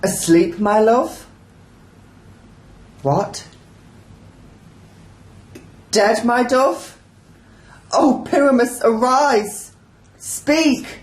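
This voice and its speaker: A woman playing a grief-stricken young lover in an amateur Shakespeare radio play, declaiming mournful, drawn-out verse. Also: a little high. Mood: shocked, pleading. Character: grief-stricken lovelorn young maiden in a mock tragedy. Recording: echoey room.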